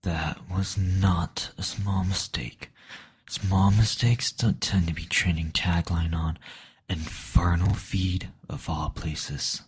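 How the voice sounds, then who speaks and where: Guy doing a cold bossy demon lord, really husky and slow, possessive roleplay stuff. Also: sits low, steady tone, heavily acted. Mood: cold, scolding. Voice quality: breathy, husky. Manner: slow, deliberate, domineering. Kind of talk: intimate scripted roleplay audio.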